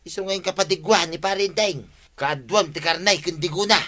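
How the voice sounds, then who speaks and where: A man's voice: high-pitched, normal speed, sharp tone. A man with an Ilocano accent playing a roguish, bantering tricycle driver husband in a comedic radio drama, with music behind.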